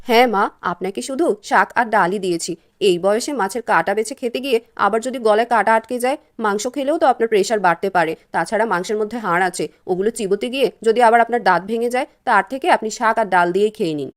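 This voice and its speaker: A woman playing a selfish, sharp-tongued younger daughter-in-law in a cartoon, brusque and rattling. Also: medium pitch, rapid-fire, lightly acted. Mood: dismissive. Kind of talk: Bengali cartoon voice acting.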